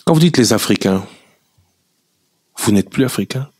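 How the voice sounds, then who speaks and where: A man interviewing a guest on the radio, asking probing, measured questions with some fillers. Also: medium pitch, expressive, dry recording, clean studio sound.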